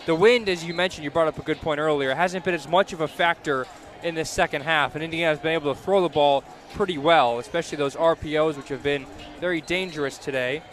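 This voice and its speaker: A man calling football play-by-play live on radio, building to excited calls on big plays. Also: a little high. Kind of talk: live radio sports commentary. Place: live football game broadcast.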